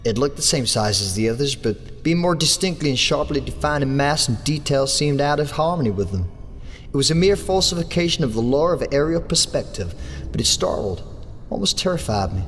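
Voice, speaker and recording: An English man reading a horror story aloud as an audiobook narrator, in a measured storytelling manner. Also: animated, slight background noise. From England. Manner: measured storytelling, lifts his pitch for characters' lines. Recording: long hall reverb with soft music under.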